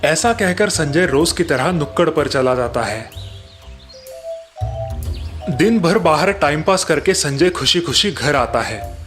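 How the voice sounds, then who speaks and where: A man's voice: on the high side, animated, slow, pauses often, fairly bright tone, faint music behind. A man reading scripted narration for a moral story, as its narrator.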